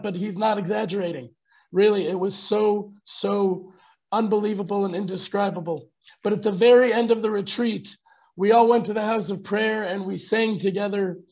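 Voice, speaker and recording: A hoarse man who has lost his voice, casually chatting and greeting viewers by name in a live online talk. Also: high voice. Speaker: fellowship teacher. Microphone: video call. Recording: dull video call audio.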